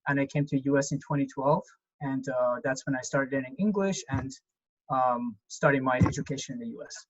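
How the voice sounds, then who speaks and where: A man's voice: medium pitch, brisk. Young man. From Iran. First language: Persian. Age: 20s. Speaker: panelist, medical student. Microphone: video call. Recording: muffled video call audio.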